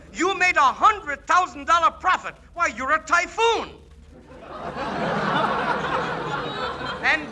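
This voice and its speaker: An actor playing a brash, excitable stockbroker who blusters with folksy, muddled wording in a 1940s radio comedy. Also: male voice, extremely high pitch, a little hiss or hum, heavily acted.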